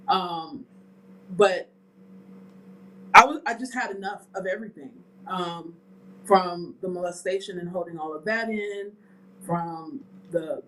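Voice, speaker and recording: A woman's voice: lowish voice, a bit bright, a little hiss or hum, rough recording. A woman guest author speaking candidly and hesitantly in an interview, with many ums. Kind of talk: personal testimony in interview.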